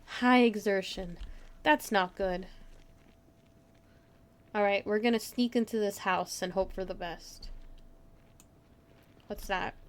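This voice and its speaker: A woman giving excitable live gameplay commentary, talking to herself and to her viewers. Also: mid-range voice, slow pace, long pauses. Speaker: game streamer. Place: indoors, streaming at a computer.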